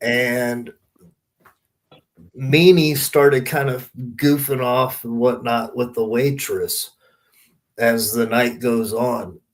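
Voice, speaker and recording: A man's voice: medium pitch, steady tone, takes it slowly. A man hosting a podcast in casual chat, telling anecdotes in an informal, emphatic, sweary way. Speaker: podcast host.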